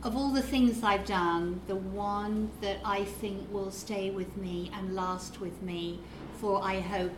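A woman speaks slowly and earnestly, taking her time over each phrase at a live panel. Her voice rings in an echoey hall with noise in the background. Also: medium pitch, fairly even intonation. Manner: earnest, measured. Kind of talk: short public remarks. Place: event hall with live audience.

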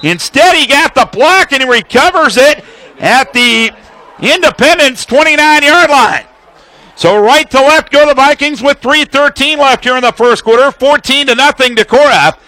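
A male play-by-play announcer with a high-pitched, bright and forceful voice calls live football for radio. He lays out the situation and the action in clipped, detailed phrases. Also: expressive, normal speed, rough recording.

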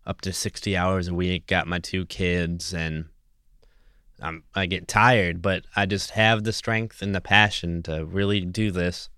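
A man's voice: on the low side, fairly bright tone, clear, resonant tone, no echo, clean studio sound. A man hosting a podcast, talking casually and earnestly in an encouraging, motivational way. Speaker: podcast host. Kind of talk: casual motivational solo talk.

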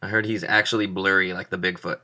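A young man hosting a show with loud, joking banter and mock-showman flourishes. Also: low voice, little pitch movement, quick pace. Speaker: podcast host. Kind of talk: comedic casual chat.

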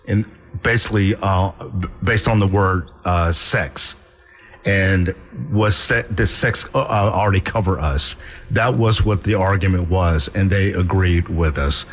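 A man's voice: sits low, measured pace. A man co-hosting a talk show, giving his views with restarts as he explains. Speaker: co-host. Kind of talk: casual talk show conversation.

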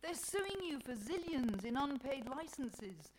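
A woman's voice: high voice, animated, a little slow, fairly bright tone, pitched above the performer's own voice. A woman voicing an impatient, scornful Mother Nature in a satirical storybook reading, scolding sharply. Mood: cross, impatient. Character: exasperated, scornful Mother Nature, sharp-tongued older goddess. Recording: clearly noisy live recording.

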